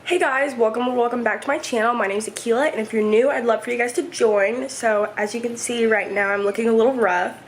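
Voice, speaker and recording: A woman in her twenties, chatting casually and rambling through a home vlog, her voice hoarse and stuffy. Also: fairly high pitch, fairly even intonation, fairly quick, few pauses, noisy background. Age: 20s. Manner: rambling, chatty, many fillers. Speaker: vlogger. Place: home, indoors. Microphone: camera set up nearby.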